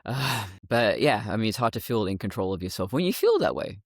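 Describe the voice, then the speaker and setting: A woman with a deeper voice, a guest talking bluntly and sardonically, swearing freely. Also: sing-song, brisk, breathy edge, dry recording, studio quality. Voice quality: deeper voice after testosterone. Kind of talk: candid interview talk.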